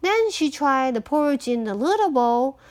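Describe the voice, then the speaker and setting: Animated, high-voiced woman reading a storybook. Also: normal speed. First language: Mandarin Chinese. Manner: expressive, switches between reading and chatty asides. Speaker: parent reading aloud to child. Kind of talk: animated picture-book read-aloud with casual asides.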